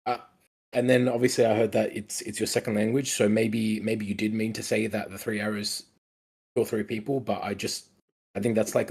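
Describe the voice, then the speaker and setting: A man giving casual script feedback in an online meeting in a polite, tentative, halting way. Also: fairly low pitch, a little mellow, slightly rough. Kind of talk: casual spoken critique. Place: indoors on an online meeting call.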